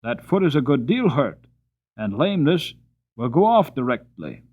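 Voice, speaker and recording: A man's voice: mid-range voice, big pitch swings, normal speed, darkish tone, breathy edge. A man voicing a kindly but firm farmer who speaks politely and with emphasis. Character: kindly, firm middle-aged male farmer. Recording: tinny phone-like audio.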